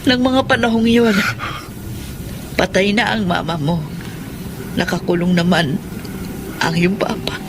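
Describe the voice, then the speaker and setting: A woman's voice: mid-range voice, steady tone, measured pace, pauses often, natural voice. Actress as anxious middle-aged mother, noisy recording. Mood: remorseful, solemn. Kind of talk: Tagalog radio drama acting.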